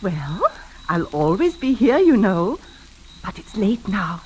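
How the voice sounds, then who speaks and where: A woman's voice: medium pitch, big pitch swings, slow pace, a little mellow, poor audio. An actress playing a gracious, coy middle-aged lady in a 1940s radio drama. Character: genteel, sweet, middle-aged lady neighbour. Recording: hissy old radio recording.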